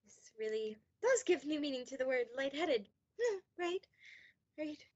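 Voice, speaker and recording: A woman's voice: high voice, sing-song, unhurried, darkish tone, lo-fi sound. A woman playing a flustered, grateful young wife in an amateur radio play, hesitant and stammering. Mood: nervous, hurried. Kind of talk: amateur high school radio play acting.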